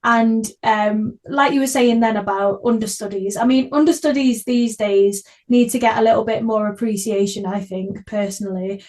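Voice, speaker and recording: A woman's voice: on the high side, steady tone, moderate pace, few pauses, a little mellow. A woman hosting a podcast, chatting casually in an earnest, effusive way. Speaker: podcast host, actor. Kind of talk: casual interview chat.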